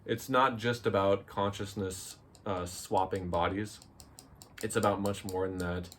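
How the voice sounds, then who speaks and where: A man with a fairly low voice chats as a reviewer. His delivery is casual and hesitant, often circling back to reword a point. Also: steady tone, unhurried, a bit bright, a little hiss or hum. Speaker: visual novel reviewer and co-host. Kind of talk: casual discussion.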